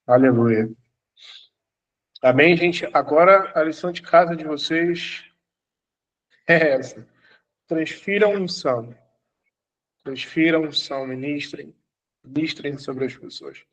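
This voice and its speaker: A man's voice: a little high, takes it slowly, pauses often. A man, an apostle, teaching an online church class, exhorting students and repeating his commands, at times laughing as he tells stories.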